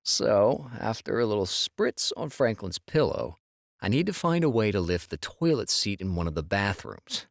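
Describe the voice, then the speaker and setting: Actor as a low-voiced, sensitive con-man husband. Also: very animated, normal speed, gravelly, no echo, clean studio sound. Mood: scheming, matter-of-fact. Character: easygoing, sensitive husband, a con crew's honey trap. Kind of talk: full-cast audiobook dialogue acting.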